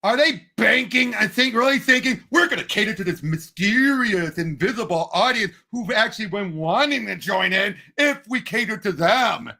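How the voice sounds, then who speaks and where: A man with a high voice that carries a gravelly creak. He co-hosts a casual discussion in an animated, opinionated way, with a sharp edge to his tone and some groping for words. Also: normal speed, hardly any pauses. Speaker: podcast co-host. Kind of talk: casual pop culture discussion.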